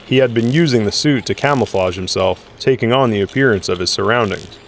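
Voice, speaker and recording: A man's voice: fairly low pitch, fairly quick, slight background noise. A man reading scripted dramatic story narration as the narrator of an audio drama.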